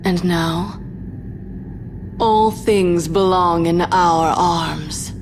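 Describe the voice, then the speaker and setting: A woman's voice: lowish voice, fairly even intonation, drawn-out words, background noise. A woman playing a haughty, menacing villainess of darkness in a video game, speaking in a grandiose, taunting manner. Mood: ominous disdain. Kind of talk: scripted video game drama acting. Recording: music under the voice.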